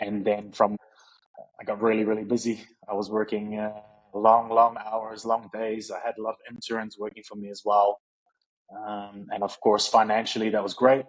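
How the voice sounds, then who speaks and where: A man's voice: on the low side, level tone, a little fast, low-quality recording. A man, a coach talking casually in an interview, rambling and reflective with many fillers. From New Zealand. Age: 30s. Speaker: guest, strength and conditioning coach.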